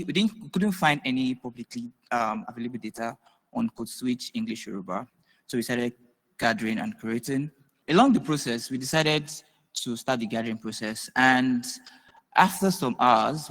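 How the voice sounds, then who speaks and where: A man giving a halting conference talk with many pauses and ums. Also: mid-range voice, fairly bright tone. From Nigeria. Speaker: researcher presenting. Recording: long reverb of a hall.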